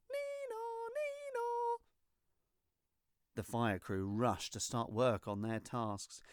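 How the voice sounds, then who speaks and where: A storyteller reading a rhyming children's storybook in an expressive, theatrical and deliberate way. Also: medium pitch, no room sound, studio quality. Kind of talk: scripted storybook narration in rhyme.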